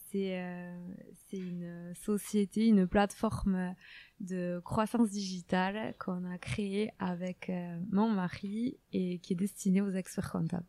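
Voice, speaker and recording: A woman from southwest France with a southwestern French accent, a podcast guest answering casually with many pauses and fillers. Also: mid-range voice, darkish tone, no room sound. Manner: hesitant, many fillers, laughs easily.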